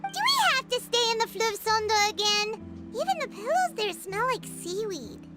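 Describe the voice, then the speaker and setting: A woman voicing a tiny, bubbly fairy companion in a game, with a squeaky, childlike voice. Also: big pitch swings, a little slow, exaggerated voice. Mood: whiny. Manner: whiny then eager. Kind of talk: game voice acting. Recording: game audio with music behind.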